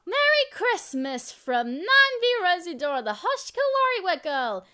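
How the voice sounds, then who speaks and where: A woman's voice: extremely high pitch, big pitch swings, a little slow, sharp tone. A woman reciting scripted lines for a homemade fan video. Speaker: video creator.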